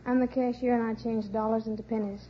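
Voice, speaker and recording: A woman's voice: fairly high pitch, flat delivery, fast. A woman answering briefly and with reserve as a contestant on a vintage radio quiz show. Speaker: contestant, arcade cashier. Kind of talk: unscripted quiz show interview. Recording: muffled vintage radio with hiss.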